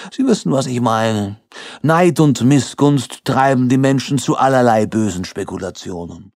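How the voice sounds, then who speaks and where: A man's voice: mid-range voice, animated, normal speed, vocal fry, a little mellow, no room sound, studio quality, voice pushed up. A man voicing a haughty aristocratic princess who speaks in elaborate, formal sentences. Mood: stately. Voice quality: elaborate formal phrasing, pompous.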